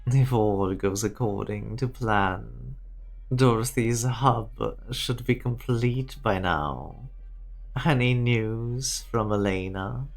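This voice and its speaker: Casting: man playing cool corporate schemer for a game fan dub, slow, measured, formal delivery. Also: medium pitch, vocal fry, soft background music. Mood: businesslike, cool. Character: cool, calculating male corporate director plotting weapons technology. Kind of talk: amateur fan dub game drama acting.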